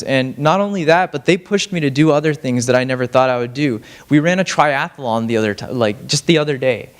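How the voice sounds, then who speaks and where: A young man giving a casual, rambling talk on stage as a student speaker, self-deprecating and full of fillers and restarts. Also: fairly high pitch. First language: Farsi. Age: young adult. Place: lecture stage.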